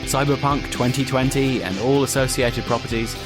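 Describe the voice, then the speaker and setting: A man's voice: medium pitch, steady tone, moderate pace. A man working as an announcer, reading scripted podcast credits. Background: plucked guitar music.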